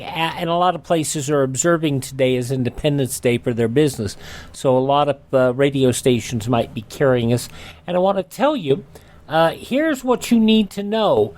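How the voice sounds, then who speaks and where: A man's voice: a little high, darkish tone. A man hosting a radio show in a casual, folksy, somewhat rambling and hesitant way. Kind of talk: casual radio hosting and personal product pitch.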